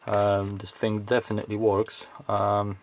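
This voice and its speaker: A man's voice: on the low side, completely flat delivery, unhurried. A man giving casual, off-the-cuff technical commentary as he hosts an electronics teardown, hesitantly thinking aloud as he goes.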